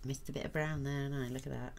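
A woman chatting softly to herself while she colors a handmade card, giving a casual crafting lesson. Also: extremely low pitch, flat delivery, fast talker, creaky, slight background noise. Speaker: card-making tutor.

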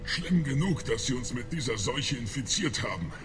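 Video game role: dying alien male, played by a man, spiteful and strained, very lively pitch, music underneath. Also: moderate pace, natural voice. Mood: bitter. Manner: spiteful, labored, dramatic. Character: dying, bitter alien man who hates humans. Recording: dramatic game music behind.

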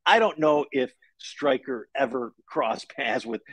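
A man's voice: medium pitch, animated, a little slow, breathy edge, no room sound. A middle-aged American man answering interview questions conversationally on a video call. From Buffalo, New York, United States. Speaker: guest, novelist. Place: room at home, video call.

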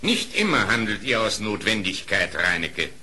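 A man's voice: fairly low pitch, big pitch swings, normal speed. An actor playing a stern, commanding king of the animals, questioning with authority in a radio play. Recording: old record with loud crowd.

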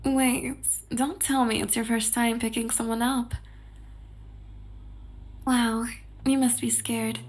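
A woman voicing a clingy, teasing yandere girl in an ASMR roleplay audio, soft-spoken and breathy. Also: on the high side, level tone, slow, long pauses.

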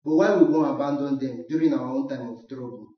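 A man's voice: medium pitch, a little fast, natural voice. Man acting an insistent Nigerian village chief, very echoey. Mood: insistent pleading. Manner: declamatory, pleading, repetitive. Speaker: student actor. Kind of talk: radio drama acting in Nigerian Pidgin. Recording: dull, echoey, distant from mic.

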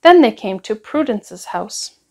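Female storyteller for picture books, high voice, very lively intonation. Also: a little slow. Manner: expressive, acts out dialogue. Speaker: storyteller reading a picture book. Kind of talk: animated children's story read-aloud.

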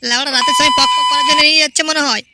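A man voicing a foul-mouthed, excitable young village husband in an amateur comedy cartoon, scolding in an exasperated way. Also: extremely high pitch, rapid-fire, full character voice. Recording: very noisy amateur cartoon audio.

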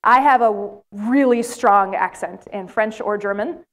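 A woman's voice: mid-range voice, a little slow. A middle-aged American woman giving a plenary lecture in a large lecture hall, telling stories and putting questions to the audience. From United States. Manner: animated storytelling with questions to the audience. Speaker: plenary speaker.

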